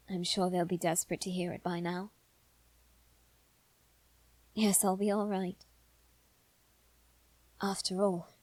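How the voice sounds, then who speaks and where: A haughty young master of the manor, voiced by a woman, speaks in a medium-pitched voice with clipped, exasperated authority. The ASMR roleplay is recorded dry and close, with an impatient, commanding delivery. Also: a little mellow, no echo, lightly acted. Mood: dismissive, resigned. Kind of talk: scripted ASMR roleplay acting.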